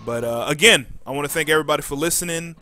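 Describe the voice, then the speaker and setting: A man hosting a podcast casually, reading out announcements and often pausing mid-sentence. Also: fairly high pitch, bright, forceful tone. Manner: halting, frequent pauses mid-sentence. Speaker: podcast host.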